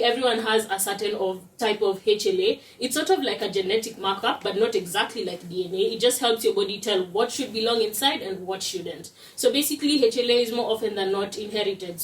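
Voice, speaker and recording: A woman's voice: medium pitch, a little hiss or hum. Woman presenting in an echoey room. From Kenya. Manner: explanatory, steady, uses "basically" as a filler. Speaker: student presenter. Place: lecture room. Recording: roomy echo, distant mic.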